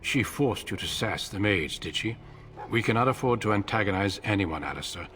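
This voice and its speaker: An actor playing a grave male commander with a measured, authoritative manner in a fantasy video game. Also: on the low side, expressive, fairly bright tone. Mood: stern. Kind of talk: dramatic video game acting.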